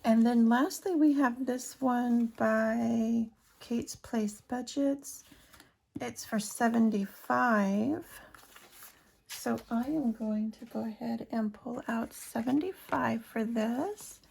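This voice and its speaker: A hoarse woman chatting casually to her viewers as a budgeting vlogger, counting cash aloud as she works. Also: a little high, takes it slowly, a little mellow. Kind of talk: casual chat while cash stuffing.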